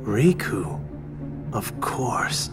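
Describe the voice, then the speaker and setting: An actor playing a calculating, disapproving member of a secret organization in a video game, with measured, formal, theatrical delivery. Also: male voice, fairly low pitch, big pitch swings, heavily acted. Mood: scheming. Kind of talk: dramatic video game voice acting. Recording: ominous music behind.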